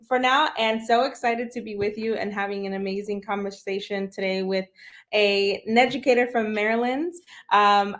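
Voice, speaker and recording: A woman hosting a casual live online interview, introducing things and prompting her guest conversationally. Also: a little high. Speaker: host, nonprofit deputy director.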